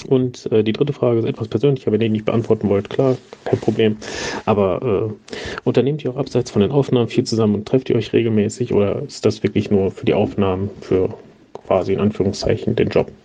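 Casting: male listener leaving a question, mellow and fairly low, muffled voice-message sound. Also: fairly even intonation, fairly quick, breathy edge. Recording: dull lo-fi voice message.

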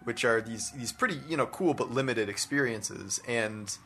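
A man's voice: mid-range voice, moderate pace, pushed and bright, a little hiss or hum. A man talking casually and giving his opinions in a discussion about his hobby, peppering his speech with "like" and "you know". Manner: conversational, hedging with "like" and "you know". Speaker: podcast co-host discussing video games.

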